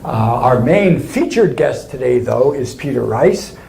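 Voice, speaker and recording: A man's voice: medium pitch, expressive, measured pace, creaky, dark tone. A man hosting a webinar interview, with friendly, slightly rambling introductions. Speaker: webinar host. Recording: echoey room with faint noise.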